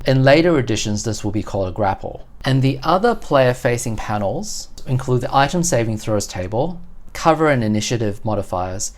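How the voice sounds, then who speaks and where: A host giving an explanatory unboxing commentary on collectible game books, speaking in a measured, methodical way. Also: mid-range voice.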